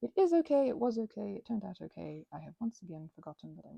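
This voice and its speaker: A woman with a breathy, soft-edged voice rambling intimately and casually, halting and interrupting herself as she reflects. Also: mid-range voice, very animated, no echo. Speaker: craft vlogger and ASMR creator. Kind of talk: rambling, intimate casual chat while crafting.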